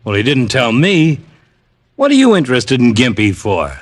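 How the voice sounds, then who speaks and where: An actor playing a grumbling but cooperative police detective, plainspoken, in old-time radio drama style. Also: male voice, medium pitch, huge pitch swings, moderate pace. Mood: grudging. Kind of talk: 1940s-50s radio drama acting.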